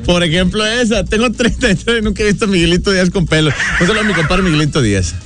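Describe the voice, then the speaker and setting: A man's voice: high-pitched, expressive, rapid-fire, noisy background. A man in his thirties with a northern Mexican accent hosting a radio show in slangy, exuberant casual talk, repeating phrases for emphasis. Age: 30s. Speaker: radio host. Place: radio studio. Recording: radio broadcast with music underneath.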